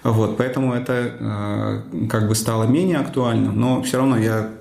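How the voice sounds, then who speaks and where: A man, a conference speaker and cloud architect, answering interview questions thoughtfully, with many fillers and pauses. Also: medium pitch, fairly even intonation, creaky, a little mellow, roomy sound.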